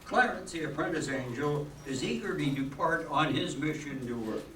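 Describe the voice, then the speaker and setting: A man's voice: a little high, lively intonation, moderate pace, sharp tone. A man narrating and announcing an old-time radio play as a scripted reading. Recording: echoey distant room, faint noise.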